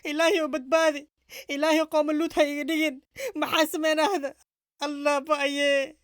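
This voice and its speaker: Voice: sits high, flat delivery, a little fast, a bit bright, no echo, clean studio sound. A performer voicing a stubborn, naive puppet man with a Somali accent, blunt and insistent, in a comedy puppet show. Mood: panicked.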